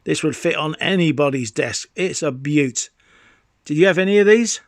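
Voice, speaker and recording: A man's voice: on the high side, expressive, moderate pace, dry recording, clean studio sound. A man in his fifties presenting a hobby showcase in an enthusiastic, savouring, exclamatory way. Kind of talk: enthusiastic product showcase narration.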